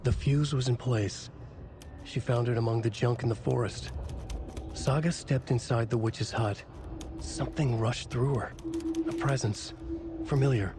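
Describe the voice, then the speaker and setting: A man playing a brooding novelist in a video game, narrating dark prose in grave, deliberate phrases. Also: mid-range voice, steady tone, background noise, lightly acted.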